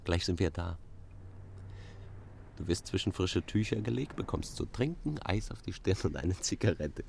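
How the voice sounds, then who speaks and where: An actor playing an agitated, possessive lover, by turns tender and domineering, in a radio play with an intense, urgent delivery. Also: male voice, deep voice, lively intonation, moderate pace, creaky, darkish tone. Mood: soothing. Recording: noisy old radio play recording.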